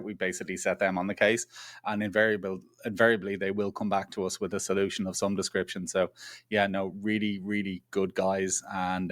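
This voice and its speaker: Low flat-voiced man, relaxed interview guest. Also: no room sound, studio quality. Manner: chatty, self-deprecating, repeats phrases. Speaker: guest, product executive. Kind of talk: conversational interview answers.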